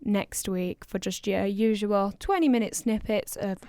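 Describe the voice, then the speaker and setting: A woman's voice: medium pitch, a little slow, a little mellow, clean tone, dry recording, studio quality. A woman co-hosting a podcast, signing off in a cheerful, chatty way. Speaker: podcast co-host. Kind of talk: casual podcast sign-off.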